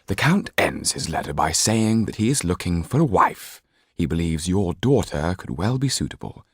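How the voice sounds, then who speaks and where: A man voicing a formal French lawyer in an audiobook, measured and firm, with dry irony and quiet disapproval. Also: low voice, sing-song, breathy edge, no echo, full character voice.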